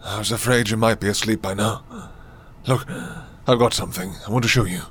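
An actor playing an obsessive, pleading old mine owner in a radio drama, insistent and repeating himself in agitation. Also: male voice, fairly low pitch, a little fast, fairly bright tone, close to an everyday voice. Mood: urgent, pleading. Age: older.

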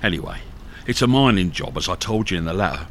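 A gruff, animated wounded crook. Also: male voice, deep voice, fast talker, slight background noise. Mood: guarded. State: in pain from a wound. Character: gruff, wounded male criminal, impatient and secretive. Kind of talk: radio crime drama acting.

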